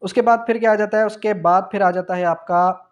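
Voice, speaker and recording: Higher-pitched Pakistani man lecturing. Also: steady tone, brisk, muffled recording. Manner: didactic, asks and answers own questions; repeats key phrases for emphasis. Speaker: law teacher. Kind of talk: exam revision lecture.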